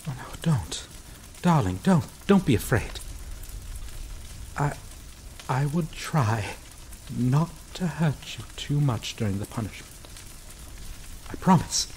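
A woman playing a grieving, possessive vampire in a dramatic ASMR roleplay, speaking haltingly and emotionally with long pauses, vengeful and pleading. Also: a bass voice, expressive, darkish tone, slight background noise, heavily acted, low-quality recording. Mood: menacing, coaxing. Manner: halting, slow, emotional delivery with drawn-out pauses.

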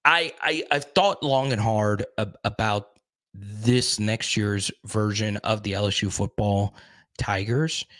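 A man's voice: fairly low pitch, slow. Chatty man hosting a live stream. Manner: animated, repeats words, reads chat aloud. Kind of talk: casual live stream chat. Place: small room indoors. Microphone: studio mic on boom arm in front.

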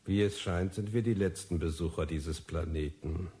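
An actor playing an arrogant, eccentric scholar who lectures pompously in a deep, strong, husky voice. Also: male voice, moderate pace, warm tone. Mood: pompous, disdainful.

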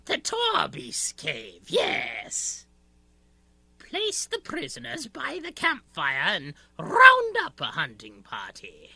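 Nasty birdlike outlaw boss for radio drama, raspy n piercing, bossy as hell. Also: female voice, medium pitch, huge pitch swings, slow pace, pushed and bright, full character voice. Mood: barking orders. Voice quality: harsh, raspy, screechy. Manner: commanding, declamatory. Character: huge, scarred, menacing one-eyed birdlike outlaw leader. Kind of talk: old-time radio serial acting.

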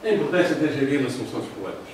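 An older man with a European Portuguese accent giving a formal lecture over slides, pausing often with fillers. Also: medium pitch, animated, slight background noise. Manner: talks through slides, hesitant fillers. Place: classroom with projector. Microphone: far room camera. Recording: roomy echo from far off.

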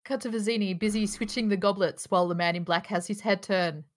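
A woman narrates stage directions for an online script read. Her voice sits at a medium pitch, and she reads the scripted action over a video call. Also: fairly even intonation, fast.

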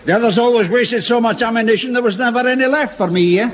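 An actor playing a dour, grumbling older soldier in a radio sitcom. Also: male voice, very high voice, quick pace, a crowd in the background. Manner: dry, grumbling. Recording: hissy old radio recording.

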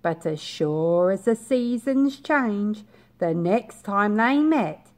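A young woman reading a picture book to small children, expressive and deliberate, with pauses. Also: mid-range voice. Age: young adult. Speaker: reception class teacher. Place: small bright school classroom, indoors.

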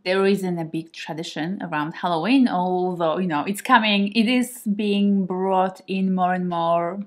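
A woman's voice: fairly low pitch, steady tone, unhurried. A woman in her thirties from Poland, a native Polish speaker, talking casually to camera at home in a chatty, reflective way and laughing often. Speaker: vlogger. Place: home kitchen and living room, indoors.

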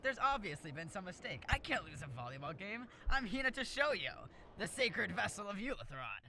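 A man voices a cocky teenage boy athlete from an anime parody. His voice is bright and high, bouncing with confidence. The delivery is animated and upbeat. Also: normal speed, creaky, exaggerated voice. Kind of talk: comedic fan-dub voice acting.

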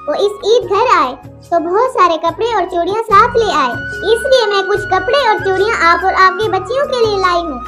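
A woman's voice: very high voice, normal speed. A woman voicing a kind, chatty little female bird in a children's cartoon, with music playing behind. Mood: friendly.

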